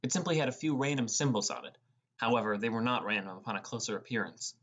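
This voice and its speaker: A man's voice: medium pitch, fast, a bit bright, slightly rough. A man reading first-person fantasy narration as an audiobook narrator. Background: music.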